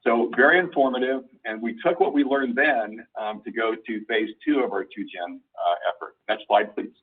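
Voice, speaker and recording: A man giving an informal slide presentation over a conference call line, explaining things in a halting, deliberate way. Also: medium pitch, husky, distant mic, narrow, tinny audio. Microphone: conference call phone line.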